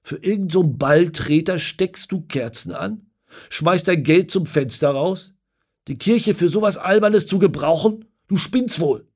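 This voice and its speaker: A narrator acting out a harsh middle-aged father, curt and scolding. The voice is raised a little above his own and keeps a gravelly rasp. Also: high-pitched, measured pace, voice pushed up. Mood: angry stern.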